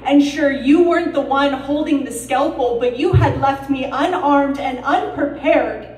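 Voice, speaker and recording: A woman reciting slam poetry in a deliberate, dramatic, emotionally intense way, with pauses and emphasis. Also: sits high, a little mellow. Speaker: slam poet. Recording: roomy echo, distant mic.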